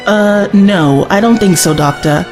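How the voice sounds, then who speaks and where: An actress playing a spirited, cheeky young woman companion in a science fiction audio drama, expressive and teasing. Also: medium pitch, unhurried, over music, natural voice. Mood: exasperated.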